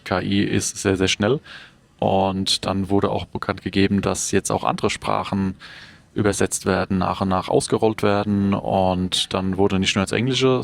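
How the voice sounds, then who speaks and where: Male travel vlogger, low register with some fry, relaxed direct-to-camera chat. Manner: conversational, asks viewers questions directly. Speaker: travel vlogger and onboard courier. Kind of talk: casual vlog talk to camera.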